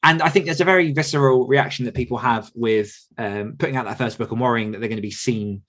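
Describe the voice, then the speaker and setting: A man's voice: mid-range voice, fast talker, a bit bright. Chatty man on a candid podcast. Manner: candid, chatty, laughs often. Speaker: podcast host and author coach. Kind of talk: casual podcast chat.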